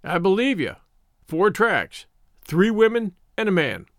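A man's voice: sits high, very animated, a little slow, dry recording, clean studio sound, full character voice. A man voicing a grave, superstitious old shepherd with rustic English dialect, in a storybook reading. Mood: insistent. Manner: dialect speech, solemn warnings.

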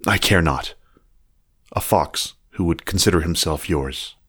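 A man's voice: low voice, measured pace, a bit bright, slightly rough, exaggerated voice. A man voicing a lovesick young male fox, reading his formal, tender letters aloud.

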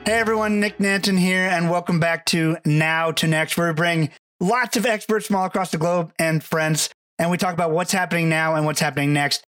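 A man's voice: on the high side, fairly even intonation, a little fast, fairly bright tone, no room sound, far from the mic. A man hosting a podcast interview who tells rambling, friendly stories full of fillers. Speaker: podcast host.

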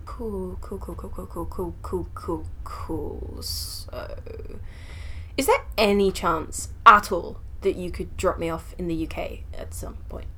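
A woman's voice: on the low side, takes it slowly, sharp tone, a little hiss or hum. Woman voicing a stammering, flustered scientist. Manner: nervous, stammering, sarcastic. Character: flustered young woman scientist stuck on a strange train. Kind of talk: audio drama acting.

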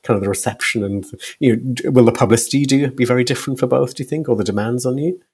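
A man hosting a conversational podcast interview, often rephrasing questions haltingly as he goes. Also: mid-range voice, darkish tone, husky.

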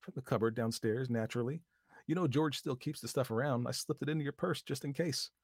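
A man's voice: medium pitch, fairly quick, slightly rough, no echo, studio quality, voice pushed up. A man reading aloud voices a bossy, scornful young woman who snaps and talks vulgar when angry. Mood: brisk.

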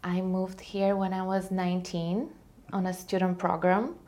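A woman's voice: fairly low pitch, level tone, unhurried, a little mellow. A woman from Bulgaria, a guest entrepreneur telling her story in a casual interview, earnest and upbeat with frequent ums and restarts.

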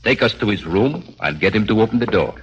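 A man acts a sinister, formal gang leader in a 1940s radio thriller. His voice is low and highly animated in pitch, with controlling, polished phrases. The recording is muffled. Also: fast, noisy background, natural voice. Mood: quietly threatening. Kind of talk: 1940s radio crime drama acting.

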